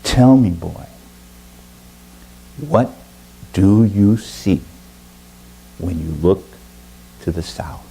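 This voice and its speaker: A man voicing a stern, wise female bird in a spoken story, low and quiet. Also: expressive, drawn-out words, gravelly, long pauses, breathy edge, exaggerated voice, poor audio. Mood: probing.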